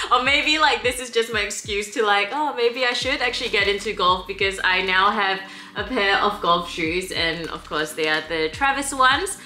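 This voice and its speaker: A woman's voice: medium pitch, moderate pace, pushed and bright, echoey room, soft background music. A woman vlogger chatting casually and enthusiastically about a streetwear and sneaker haul, with lots of fillers. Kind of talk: casual shop-with-me haul chat.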